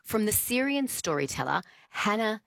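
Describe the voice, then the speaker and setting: A woman co-hosting a radio show, chatting in a lively way and reading out listener texts, with lots of fillers. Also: mid-range voice, moderate pace, creaky, dry recording, clean studio sound. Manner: lively, animated storytelling with many fillers. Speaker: radio co-host. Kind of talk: casual radio chat.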